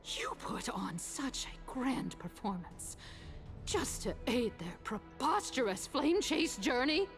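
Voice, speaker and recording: A woman's voice: high voice, sing-song, takes it slowly, faint music behind, exaggerated voice. A woman playing a stern, vindictive political leader in a video game, imperious, emphatic and threatening. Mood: outraged, threatening. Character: stern, vindictive female political elder, outraged and menacing. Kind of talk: dramatic video game voice acting.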